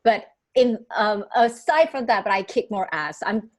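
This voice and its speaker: A woman's voice: mid-range voice, expressive, normal speed, a little mellow. A young woman from Taiwan whose first language is Chinese, chatting as a podcast guest with frequent laughs and restarts. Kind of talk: casual interview chat.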